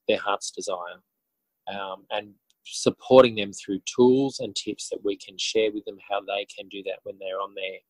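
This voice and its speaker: An Australian man with a lowish voice talks as an interview guest. He is reflective and conversational, pausing and rephrasing as he goes. The sound is fairly dry. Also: dry recording, rough recording. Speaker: interview guest, author and teacher. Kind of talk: conversational interview answers, telling his story.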